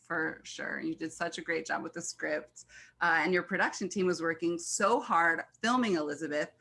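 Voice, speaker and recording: A woman's voice: low-pitched, moderate pace, gravelly, pushed and bright, slightly rough. An American woman hosting an online event as a filmmaking mentor, talking to guests and introducing them. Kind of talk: event hosting on a video call.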